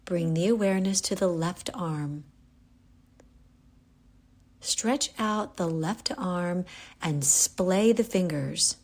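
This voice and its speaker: A woman guiding a yoga nidra relaxation, giving calm, gentle, unhurried instructions with pauses. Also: lowish voice. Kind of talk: guided relaxation meditation.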